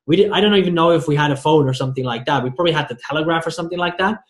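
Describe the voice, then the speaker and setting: The host of an interview podcast in an echoey room, a man with a slightly high voice who circles and restarts his way toward each question. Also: very fast. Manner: rambling, stumbles and repeats words. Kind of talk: conversational interview. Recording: roomy, echoey sound.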